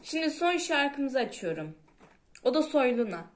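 A woman's voice: high-pitched, very animated, a little slow. A young woman chatting casually as she reacts to songs. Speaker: vlogger rating cartoon theme songs. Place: small indoor room at home. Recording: music playing behind the talk. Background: cartoon songs from a phone.

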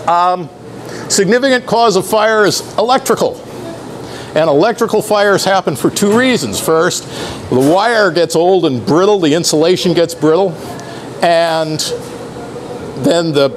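Middle-aged man, slightly high voice, live presentation, unhurried explaining, faint background noise. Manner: unhurried, explanatory, with some restarts and fillers. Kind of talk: live talk to sailors. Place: club room.

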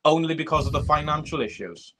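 A man's voice: a little high, lively intonation, fast talker, a crowd in the background. A young man, chatting casually as a guest on a video call from a small room, with many fillers. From Wales. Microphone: video call webcam.

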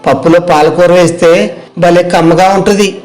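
Casting: man voicing young rural vegetable vendor, high voice, earnest, homely colloquial delivery for a moral tale. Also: steady tone, moderate pace, a little mellow, lightly acted, rough recording. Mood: persuasive. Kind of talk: acted moral story dialogue.

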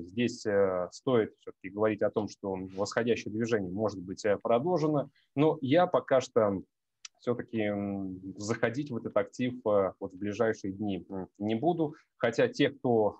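A man's voice: on the low side, darkish tone, no room sound, highs cut off. A man in his thirties gives market analysis over a video call on a headset, with many hesitations and direct address to his viewers.

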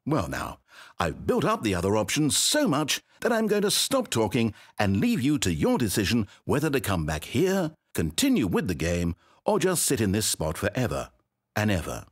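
An actor playing a smug, mocking game narrator who teases the player in dry, sarcastic tones. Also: male voice, fairly low pitch, wildly swooping pitch, moderate pace, husky, exaggerated voice. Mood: sardonic. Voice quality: dry, teasing delivery with sly sarcasm.

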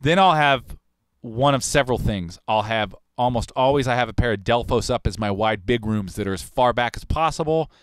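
A man in his forties, a recording engineer and host, talking in a relaxed, rambling, technical way in a studio control room on a broadcast mic. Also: medium pitch, moderate pace, hardly any pauses, clean tone, dry recording. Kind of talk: casual livestream talk on recording. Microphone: large broadcast mic on arm, headphones on.

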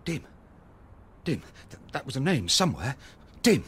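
An actor playing a grieving, forgetful writer in a radio drama, halting and distracted. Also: male voice, mid-range voice, very animated, breathy edge, full character voice. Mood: troubled, vengeful. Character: grieving, forgetful, haunted male widower and writer. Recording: loud music behind the voice.

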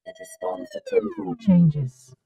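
A woman reading a scripted test sample in short deliberate statements, processed through a robotic vocoder or ring modulator effect. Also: very high-pitched, wildly swooping pitch, vocal fry, dark tone, highs cut off, faint music behind.

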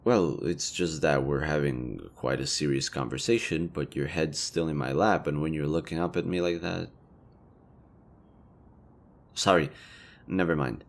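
Man acting as low-voiced, awkwardly flirty elven leader. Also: a little slow, gravelly, long pauses, full character voice. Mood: sheepish, apologetic. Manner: conversational, hesitant, rambling, casually profane. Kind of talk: intimate roleplay audio drama.

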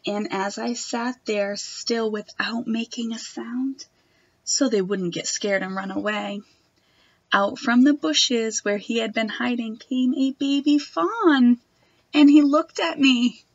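A young woman reading a picture book aloud to children in a gentle, expressive manner. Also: on the high side, slow pace, no echo, muffled recording. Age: young adult. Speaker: storytime reader. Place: wood-panelled room at home.

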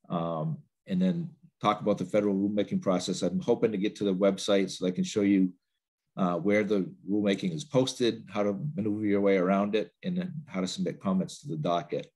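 Low husky man presenting a webinar. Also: darkish tone. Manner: rambling, with many fillers and restarts. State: stuffy with a cold. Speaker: trainer. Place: home office. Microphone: laptop video call.

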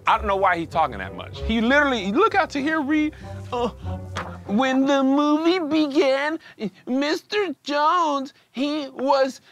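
Animated man in comic banter. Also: very high-pitched, measured pace, soft background music. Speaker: comedian contestant. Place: podcast studio.

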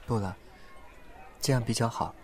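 A young man acting a gentle, reserved young man in a confiding audio drama role. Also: medium pitch, normal speed, lightly acted. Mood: hesitant, confiding.

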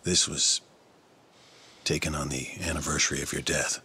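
An actor playing a grave, composed man in a video game drama, speaking in a measured, deliberate way. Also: very deep voice, steady tone, bright, forceful tone, slightly rough, full character voice. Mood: grave, controlled. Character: grave, composed man revealing hidden camera footage.

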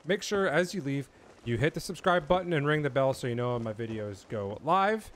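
A man's voice: medium pitch, lively intonation, a little fast, a bit bright. A middle-aged man giving a casual, easygoing video game walkthrough, speaking informally to his viewers. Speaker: video game guide creator and host. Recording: clear background noise under voice.

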